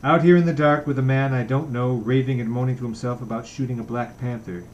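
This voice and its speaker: A man's voice: mid-range voice, fairly even intonation, fast talker, darkish tone, dull audio, a little hiss or hum, close to an everyday voice. A man reading a story aloud, voicing a weary, displeased and uneasy young man who speaks earnestly and guardedly. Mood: agitated.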